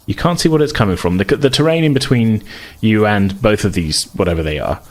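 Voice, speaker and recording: A man improvising narration as game master of a tabletop role-playing game, halting and rephrasing as he describes. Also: lowish voice, animated.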